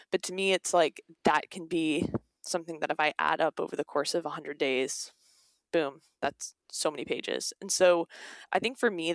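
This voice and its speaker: Female, young adult, podcast guest. Informal, gravelly edges, dry sound. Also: deep voice, no room sound. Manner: informal, peppered with "like" and quoted asides. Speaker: guest, author. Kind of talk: casual interview conversation.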